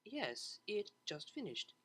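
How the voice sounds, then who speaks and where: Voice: mid-range voice, sing-song, takes it slowly, fairly bright tone, husky, voice pushed up, heavily acted. Narrator doing a proper polite cellist pony lady, reserved n prim, storybook character. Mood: firm, hushed. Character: refined, polite, reserved young mare cellist.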